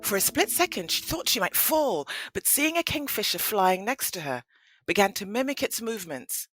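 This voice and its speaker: Expressive woman narrator over faint music. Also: mid-range voice, moderate pace, rough recording. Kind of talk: scripted storybook narration for an audio play.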